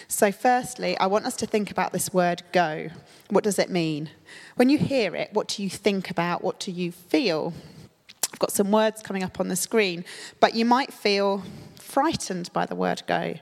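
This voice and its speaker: A woman preaches to her congregation, her voice slightly croaky from a cold. She speaks in a relaxed, conversational way, pausing on little fillers. Her words ring out with a long reverb off the walls of a church hall. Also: mid-range voice. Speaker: church leader. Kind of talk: sermon.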